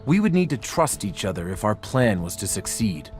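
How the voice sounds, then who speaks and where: A man playing an earnest young male vigilante who narrates game cutscenes with mock drama and deadpan silliness. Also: lowish voice, normal speed. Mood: deadpan, chatty. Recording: music behind the voice.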